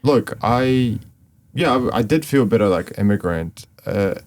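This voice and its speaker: A young man from Ukraine speaks as an interview guest in a very low voice. He talks in a relaxed, rambling way, with many fillers and restarts. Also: measured pace. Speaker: guest, artist. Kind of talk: casual interview talk.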